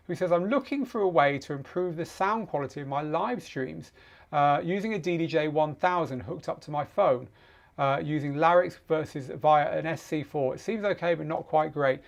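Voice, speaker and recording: A man's voice: on the high side, gravelly. A man hosting a casual live question-and-answer show, explaining off the cuff in a conversational way and repeating words for emphasis.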